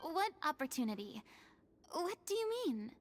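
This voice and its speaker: A woman's voice: high-pitched, sing-song, normal speed. A woman voicing a proud, theatrical young female celebrity in a video game, easily flustered, with formal phrasing. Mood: puzzled. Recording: loud music behind the voice.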